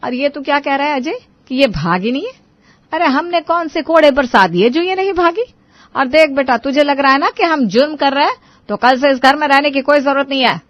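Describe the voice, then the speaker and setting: A bossy, stern mother-in-law, voiced by a woman storyteller, ordering everyone about in a highish, sharp-tongued scold. She sounds like an older family matriarch from a moral tale. The recording is dry and dull. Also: lively intonation, a little fast, dry recording. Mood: indignant scolding.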